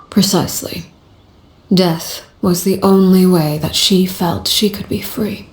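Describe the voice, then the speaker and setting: A woman voicing a cold, composed leader in a game cutscene, telling a grim tale in a measured, half-whispered way. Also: fairly low pitch. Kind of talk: video game cutscene storytelling. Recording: roomy echo.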